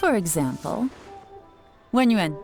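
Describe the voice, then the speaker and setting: An actress playing a cool, assured woman spymaster in a video game, composed, deliberate and commanding. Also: medium pitch, sing-song, vocal fry, over music, exaggerated voice.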